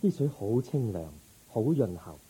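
An actor playing a gentle, composed prince turned humble monk in a Cantonese radio drama. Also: male voice, medium pitch, very animated, unhurried, husky, heavily acted, low-quality recording. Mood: serene, grateful. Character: serene young male prince turned ascetic monk.

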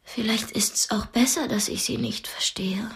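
Clever, frightened young captive. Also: female voice, on the high side, a little slow, creaky, in a whisper. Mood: quiet, probing. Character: frightened but clever, defiant young woman held captive. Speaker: actress in a radio drama. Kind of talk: German radio drama dialogue.

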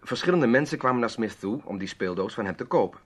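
Husky, animated adventurer in a radio play. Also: male voice, mid-range voice, quick pace. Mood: confiding, composed. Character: easygoing, shrewd adventurer explaining his past schemes. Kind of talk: old Dutch radio drama acting.

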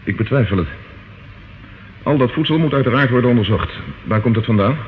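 A man plays a steady police inspector from an old radio play. He questions and states things firmly and in a businesslike way. The sound is thin, phone-like and hissy. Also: medium pitch, fairly quick, lightly acted. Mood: urgent, probing. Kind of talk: old radio drama acting. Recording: muffled, noisy old radio recording.

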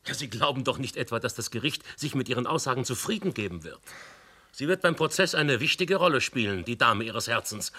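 An actor playing a blunt, incredulous police commissioner with an emphatic, probing delivery in a German radio play. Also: male voice, mid-range voice, lively intonation, fairly quick, pushed and bright, husky. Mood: reproachful.

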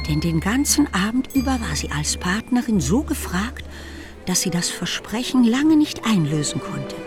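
A woman narrating a literary radio play as an expressive storyteller. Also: fairly low pitch.

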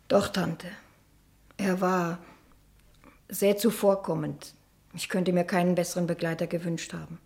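An actress playing a lonely, sheltered middle-aged niece in a 1960 German radio drama, with a hesitant, wistful way of speaking. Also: female voice, lowish voice, little pitch movement, measured pace, long pauses, a little mellow. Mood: subdued, wistful.

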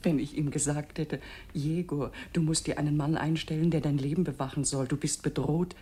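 An actress playing an anxious, devoted upper-class wife in a German radio play, speaking in a refined, confiding manner. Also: female voice, low-pitched, steady tone, a little fast, dark tone, husky. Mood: confiding, concerned.

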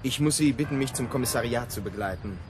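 A man's voice: medium pitch, fast, background noise, lightly acted. An actor playing a curt, officious plainclothes policeman in a radio play, formal and politely menacing. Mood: cold, official.

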